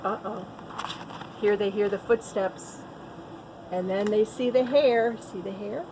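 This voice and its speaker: A woman teacher telling a picture-book story to young children, expressive, with little asides to her listeners. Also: mid-range voice, slow pace, noisy background.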